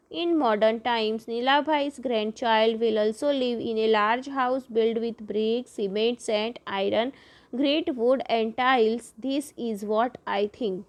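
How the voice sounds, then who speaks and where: A fairly high-voiced woman, teaching a primary class. She reads each textbook sentence at a very deliberate crawl, then explains it again in her own words. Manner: reads English lines slowly, then explains rapidly in Hindi-English mix. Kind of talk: bilingual textbook lesson, reading English then explaining.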